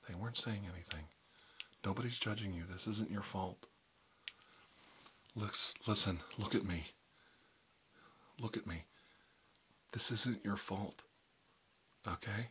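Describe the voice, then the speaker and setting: Male caregiver, soft soothing whisper, lowish pitch, faint noise floor. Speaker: roleplay caregiver. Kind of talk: whispered medical roleplay.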